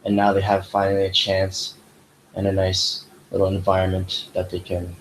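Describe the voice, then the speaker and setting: Animated young man with low voice. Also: moderate pace, a little hiss or hum, rough recording. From United States. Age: young adult. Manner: animated, rambling, many fillers. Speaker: podcast host. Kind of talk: casual chat.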